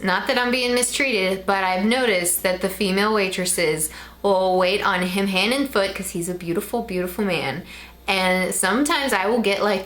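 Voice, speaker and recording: A woman's voice: fairly low pitch, fairly bright tone. A woman in her twenties with a Midwestern American accent, chatting casually to camera in a rambling way with frequent ums. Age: 20s. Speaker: vlogger.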